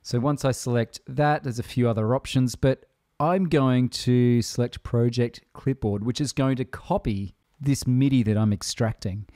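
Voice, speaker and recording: Man with a mellow, casual tutorial voice. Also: medium pitch, steady tone, moderate pace, no echo, studio quality. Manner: casual, conversational explaining. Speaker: tutorial presenter. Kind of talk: software tutorial voice-over.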